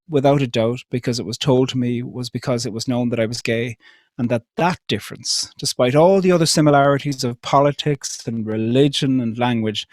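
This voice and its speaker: An Irish man speaks at a calm, considered pace, posing question after question with gentle hesitations between them. His voice sits at an everyday middle pitch. The sound is dry and close, with no room echo. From Ireland. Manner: measured, thoughtful, many rhetorical questions and soft hesitations. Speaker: speaker at an interfaith event. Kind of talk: reflective public talk.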